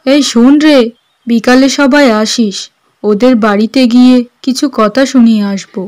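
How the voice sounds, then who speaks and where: A woman's voice: on the high side, a little mellow, lightly acted. A woman playing a nosy, scheming village gossip in melodramatic cartoon dialogue.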